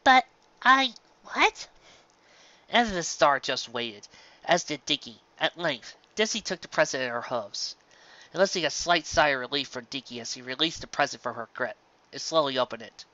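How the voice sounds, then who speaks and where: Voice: medium pitch, animated, brisk, sharp tone, muffled recording. A narrator reading a scripted story aloud. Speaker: narrator of fan fiction.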